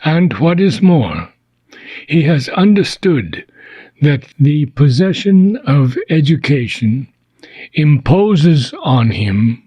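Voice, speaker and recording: A man with a husky voice reads philosophical prose aloud as an audiobook, solemn and deliberate, pausing between short phrases. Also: on the high side, very animated, warm tone, dry recording. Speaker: reader of a philosophy book. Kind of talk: slow, measured audiobook reading of philosophical prose.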